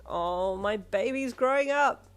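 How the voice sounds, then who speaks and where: A goofy, doting dad teases and comforts in a loud, fond voice, as a woman narrator puts him on. Faint music runs behind. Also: mid-range voice, fairly quick, voice pushed up, lightly acted. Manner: playful, loving.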